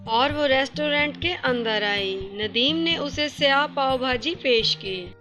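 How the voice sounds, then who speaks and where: Woman narrator reading a moral story, high voice, takes it slow, music behind. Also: lively intonation, bright, forceful tone.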